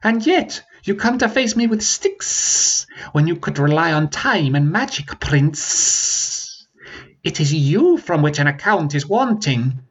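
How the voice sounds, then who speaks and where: A man voicing a scheming, slippery male dragon in a storybook reading, with a shrill, hissing voice and slow, drawn-out, mocking speech. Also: very animated, husky, dull audio, heavily acted. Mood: menacing.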